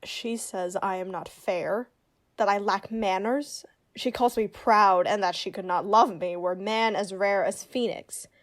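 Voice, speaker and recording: A woman acting a witty young noblewoman disguised as a male youth in a Shakespearean radio play, with brisk, teasing, theatrical delivery. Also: mid-range voice, fairly even intonation, lightly acted. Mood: scornful.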